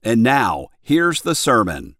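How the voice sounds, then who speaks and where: Male announcer, upbeat scripted intro, animated delivery, dry recording. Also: medium pitch, slow, no echo. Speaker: podcast announcer.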